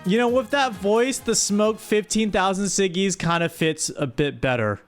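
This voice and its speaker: A high-voiced man hosts a stream in a casual, comedic way. He sounds exasperated and playfully scolds his viewers as he reads their words aloud, with faint music underneath. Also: moderate pace. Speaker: streamer host.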